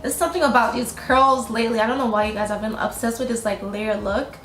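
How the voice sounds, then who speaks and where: A young woman with a raspy, tired late-night voice, gushing casually through a wig review voiceover. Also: a little high, steady tone, fast, a bit bright, roomy sound, slight background noise, rough recording. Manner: chatty, gushing, says "you guys" often. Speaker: beauty vlogger. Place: home at night, sirens outside.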